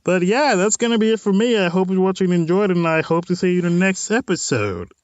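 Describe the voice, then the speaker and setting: A man's voice: sits high, lively intonation, a little fast, no room sound. A man hosting a let's play of a visual novel, reading text aloud and adding informal, wry asides. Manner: informal, wry side comments on what is read.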